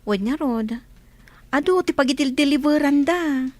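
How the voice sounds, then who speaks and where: A woman's voice: high voice, unhurried, a little mellow. A woman playing a cheerful, chatty young woman in an audio drama. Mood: coy.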